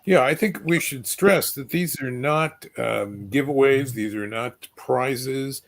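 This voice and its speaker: A man moderating an informal panel, speaking in halting, deliberate phrases. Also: medium pitch, vocal fry.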